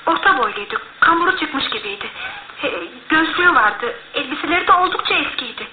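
A woman's voice: sits high, lively intonation, fairly quick. A female actor playing a respectful servant witness in a radio drama, recounting dramatically and with deference. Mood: shaken, earnest. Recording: echoey, tinny, noisy old radio recording.

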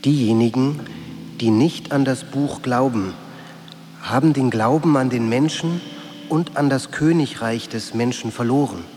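A solemn sage , played by a man, declaims maxims in a radio play. His measured voice sits at a middle pitch in long reverb, over a faint hum. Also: a little mellow, close to an everyday voice. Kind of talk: aphoristic radio drama declamation.

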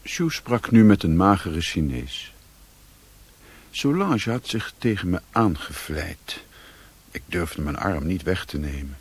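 A man's voice: low-pitched, expressive, husky, exaggerated voice. An actor playing a brooding European drifter who narrates his own story in a radio play, introspective and literary in delivery. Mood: brooding, detached. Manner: slow, introspective. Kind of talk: first-person literary radio drama narration.

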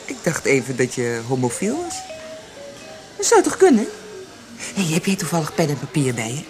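An actress playing a blunt, cynical young bar prostitute in a radio play. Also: female voice, deep voice, huge pitch swings, moderate pace, darkish tone, faint music behind. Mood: teasing. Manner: teasing, blunt.

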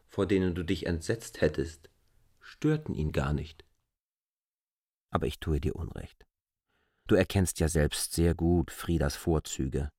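An actor playing an earnest, reflective man in a literary radio play, reasoning deliberately in long, argumentative sentences. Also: deep voice, a little mellow, breathy edge, no echo, clean studio sound, heavily acted. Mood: insistent, reflective, then earnest, reproachful.

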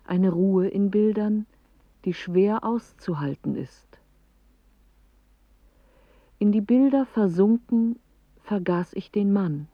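Dreamy clever woman telling her riddly story, radio play, really slow, dry dull sound. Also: medium pitch, animated, no echo. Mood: contemplative. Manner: measured, declamatory, lyrical phrasing. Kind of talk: poetic radio play monologue.

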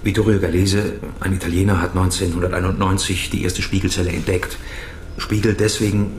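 An actor playing an uneasy, intuitive and scholarly profiler in a radio crime drama, speaking haltingly. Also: male voice, deep voice, level tone, reverberant, a little hiss or hum, natural voice. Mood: grave, explaining.

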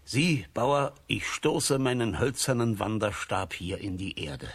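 Blind old wanderer, deep voice, swooping solemn prophecy, children's radio drama. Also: male voice, lively intonation, vocal fry, husky, exaggerated voice. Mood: solemn, prophetic. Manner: measured, solemn, portentous. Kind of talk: German children's radio play acting.

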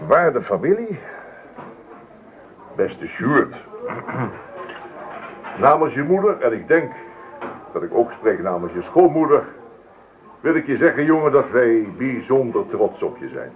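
A man's voice: medium pitch, big pitch swings, a little slow, pauses often. An actor playing a formal, proud, easily affronted father in a radio drama, speechifying pompously in long sentences. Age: middle-aged. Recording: phone-like old radio recording.

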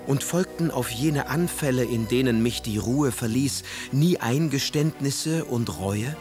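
A man's voice: mid-range voice, lightly acted. Man playing proud, aggrieved poet husband, eloquently wounded. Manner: eloquent, theatrical, rhetorical. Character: proud, aggrieved young English poet husband, pleading and reproachful. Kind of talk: German radio drama, letters read in character.

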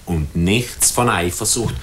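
An actor playing a humble, clever Swiss office servant with a Swiss German accent, deliberate and respectful, in a 1940s radio play. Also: male voice, a bit bright. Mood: respectful, earnest. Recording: noisy, rumbling 1940s radio recording.

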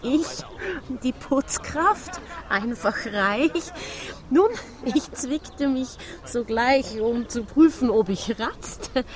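A woman's voice: on the high side, extremely slow. An actress playing a defensive, embarrassed wife and cleaning woman, earnest and hesitant, in a rhymed radio drama. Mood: confessing. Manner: earnest, hesitant, self-justifying.

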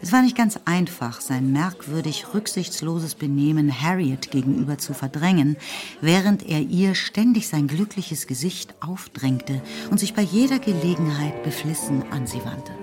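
A woman's voice: low voice, animated, moderate pace, darkish tone, soft background music. A woman narrator giving scripted, lightly ironic literary storytelling in a German radio play.

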